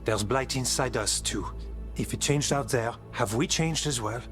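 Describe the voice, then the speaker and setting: An actor playing an earnest, hopeful warden with a sense of wonder in a fantasy video game. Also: male voice, medium pitch, normal speed, close to an everyday voice. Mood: earnest, reflective. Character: earnest, hopeful male warden who cherishes nature. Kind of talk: video game dialogue acting. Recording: game music underneath.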